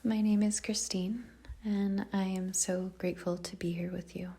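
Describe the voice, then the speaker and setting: A woman guiding a meditation in a soft, breathy, husky voice, speaking calmly and gently in short, measured phrases with long pauses. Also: medium pitch. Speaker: yoga nidra meditation guide. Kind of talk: guided meditation, slow soothing narration.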